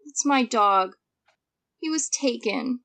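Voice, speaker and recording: Woman acting an earnest, high-voiced twelve-year-old girl. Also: animated, takes it slowly, a bit bright, heavily acted. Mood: hesitant, downcast. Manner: hesitant, earnest, indignant. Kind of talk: audio drama acting.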